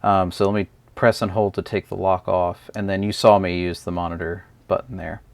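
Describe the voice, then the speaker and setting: Low-voiced man reviewing gadgets. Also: level tone, moderate pace, gravelly, dry recording. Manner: hesitant, unscripted, explanatory. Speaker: product reviewer. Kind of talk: casual hands-on gadget review.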